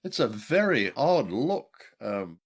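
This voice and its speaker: A man's voice: medium pitch, big pitch swings, slow pace, slightly rough. A man co-hosting a casual review chat, talking in a wry, jokey way with wordy asides. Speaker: podcast co-host. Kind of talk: casual review chat about an old radio play.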